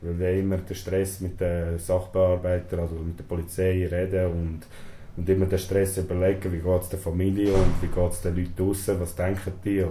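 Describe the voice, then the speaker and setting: A man's voice: deep voice, level tone, moderate pace, warm tone, a little hiss or hum. A young man in his twenties from Switzerland with a Swiss German accent, his first language Serbian, answering interview questions hesitantly with many fillers and tag questions. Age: 20s. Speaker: prison inmate interviewee. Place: small storeroom in a prison. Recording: echoey room with faint ambience.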